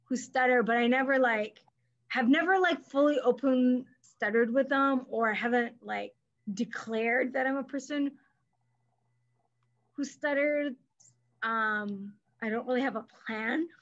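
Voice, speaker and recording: Higher-voiced woman on a dull call line. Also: unhurried. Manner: chatty with light stuttering and restarts. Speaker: guest, speech therapist. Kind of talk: casual interview over video call. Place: small room indoors. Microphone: webcam mic on video call.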